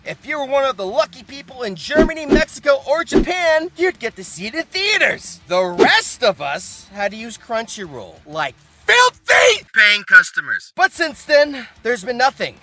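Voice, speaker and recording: A host giving theatrical, comedic scripted narration about anime, with exaggerated mock outrage and playful asides. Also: sits high. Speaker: host of anime video essay.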